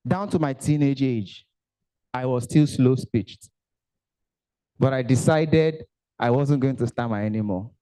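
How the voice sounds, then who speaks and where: Nigerian man, young adult, motivational speaker, animated, pidgin asides, fairly high voice. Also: rough recording. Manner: animated, mixes Pidgin asides, banters with the audience. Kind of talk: motivational talk at a career summit. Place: event hall.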